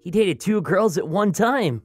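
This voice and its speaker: A woman voicing a friendly, eager teenage freshman boy, chatty and upbeat, in an animated roleplay. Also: medium pitch, unhurried, breathy edge, soft background music. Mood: friendly, curious.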